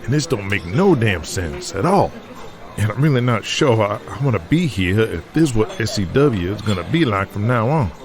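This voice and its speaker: A man voicing a weary, troubled male wrestler and booker who speaks in a halting, folksy way. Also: lowish voice, big pitch swings, a crowd in the background. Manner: quiet, halting, folksy grammar. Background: music underneath.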